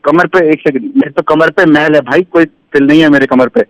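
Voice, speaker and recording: A man's voice: fairly high pitch, fairly quick, no echo. A man in casual phone talk, protesting and repeating himself as a prank call victim. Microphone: telephone. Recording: dull lo-fi phone line.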